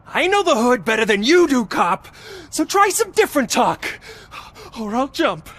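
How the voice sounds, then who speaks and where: A man's voice: very high voice, big pitch swings, normal speed, fairly bright tone, exaggerated voice. A man playing an easily frightened but defiant small-time criminal in a video game, streetwise, pleading and blustering.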